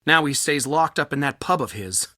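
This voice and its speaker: A man playing a fussy, excitable, scholarly culture center organizer in a video game, with polite but theatrical, easily flustered delivery. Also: on the high side, moderate pace, no echo, studio quality. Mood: chatty, polite. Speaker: game character, festival organizer. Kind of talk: video game character dialogue.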